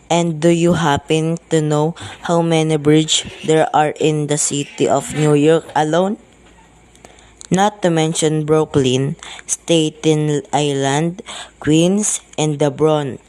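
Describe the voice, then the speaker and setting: A weary police sergeant acted by a student in an amateur radio drama, speaking slowly at a middle pitch. The delivery is placating, patronizing and dismissive. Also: fairly even intonation, a little mellow, natural voice, rough recording. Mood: skeptical, patronizing. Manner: deliberate, patronizing.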